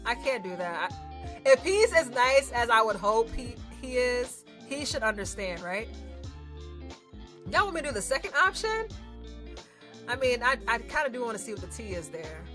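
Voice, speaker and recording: A woman's voice: on the high side, very animated, moderate pace, a bit bright, faint music behind. A woman streaming a game, chatting and reacting in a casual, slangy, teasing way. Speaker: streamer playing a visual novel.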